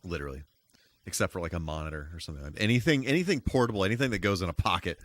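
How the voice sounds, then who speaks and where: A man hosting a casual, rambling game livestream, animated and emphatic, repeating himself for emphasis. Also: low voice, racing, dry recording. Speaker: live streamer and host. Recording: music playing behind the voice. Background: music.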